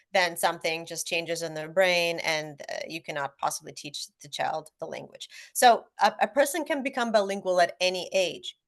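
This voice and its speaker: A woman whose first language is Russian talks through her ideas in an easy panel chat. Her voice sits a little low for a woman. She explains things earnestly and often stops to restart a phrase or add an um. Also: a bit bright. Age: adult parent. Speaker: guest author on a parenting panel. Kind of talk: casual panel discussion.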